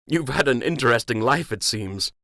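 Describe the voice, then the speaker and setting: Polite young rabbit captain, calm and measured, read by a male narrator, close dry sound. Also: medium pitch, no room sound, voice pushed up. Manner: courteous, measured.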